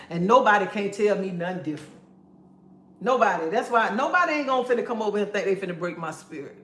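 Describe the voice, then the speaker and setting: A woman's voice: fairly high pitch, a bit bright, roomy sound. A woman giving a sassy, preachy pep talk on a casual livestream, calling listeners honey and baby.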